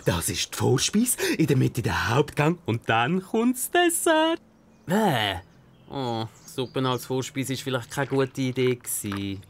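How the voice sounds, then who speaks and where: A man's voice: medium pitch, very animated, a little slow. A man playing a proud, goofy zookeeper dad in a children's cartoon, with a Swiss German accent and a theatrical, exclaiming manner. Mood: proud, playful. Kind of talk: animated children's bedtime story acting.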